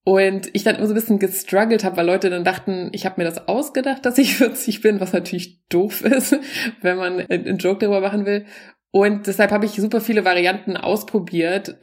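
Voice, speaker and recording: A woman's voice: mid-range voice, fairly even intonation, a little fast. A woman in her forties, a stand-up comedian, talking loosely and casually about her work and mixing in English words. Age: 40s. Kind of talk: casual interview talk.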